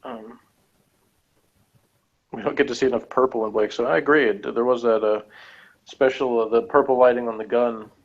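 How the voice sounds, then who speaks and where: A man's voice: medium pitch, steady tone, moderate pace, pauses often. A man co-hosting a review, chatting casually as he reads out notes and adds rambling, skeptical asides. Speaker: co-host of a TV episode review. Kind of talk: casual chat while reading out and reacting to review notes. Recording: dull, lo-fi sound.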